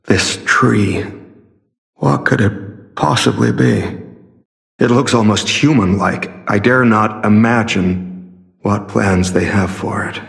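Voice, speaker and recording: A man playing a secretive, earnest masked warrior in a video game, speaking in a measured, formal and solemn way. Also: fairly low pitch, vocal fry. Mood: wary, intrigued. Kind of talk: scripted game character dialogue.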